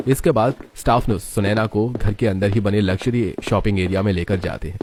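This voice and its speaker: Low-voiced male story narrator. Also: fairly even intonation, fairly quick, darkish tone, a little hiss or hum.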